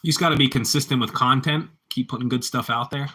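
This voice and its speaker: Pittsburgh guy chatting with his stream, rambly, says stuff twice, real sharp bright voice. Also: medium pitch, fast, breathy edge. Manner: rambling, repeats himself, talks back to chat. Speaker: livestreamer chatting with viewers. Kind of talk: casual live-stream chat.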